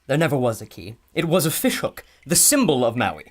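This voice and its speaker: An actor playing an earnest, cautious archaeologist in a pulp adventure audio drama, bookish, polite and excitable. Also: male voice, mid-range voice, a little fast. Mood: absorbed, excited.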